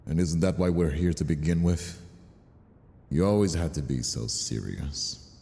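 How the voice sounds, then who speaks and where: An actor playing a menacing, condescending mobster villain in an audio roleplay, taunting with a drawl. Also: male voice, very deep voice, expressive, measured pace, vocal fry, warm tone, slightly rough, exaggerated voice. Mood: mocking. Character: menacing, mocking ambitious mobster villain, adult man. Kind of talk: dramatic audio roleplay acting.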